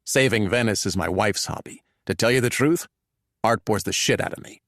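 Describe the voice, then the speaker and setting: A man's voice: on the low side, moderate pace, fairly bright tone, no room sound, studio quality, close to an everyday voice. Narrator doing a blunt, boastful older American man. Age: 60s. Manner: blunt, crass. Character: blunt, boastful ginger-haired American man, sixty-five, wealthy.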